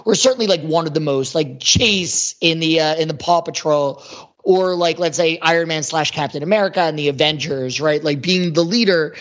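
A man talking casually as a podcast panelist, rambling and halting as he repeats words to build a point. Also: fairly high pitch. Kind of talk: casual pop-culture discussion. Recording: dull lo-fi audio.

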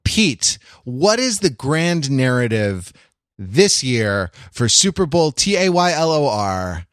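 A man hosts a casual podcast. His voice sits at a middling pitch in a dry recording. He speaks haltingly, repeating and reworking phrases as he goes. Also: sing-song, a bit bright, no echo. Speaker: podcast host. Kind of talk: casual conversational podcast hosting.

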